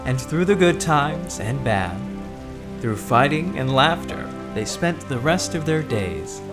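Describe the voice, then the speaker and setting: A male narrator with a slightly high voice and lively, swooping intonation. He tells the story theatrically at an unhurried pace. Loud music runs underneath the narration. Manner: measured, dramatic storyteller delivery.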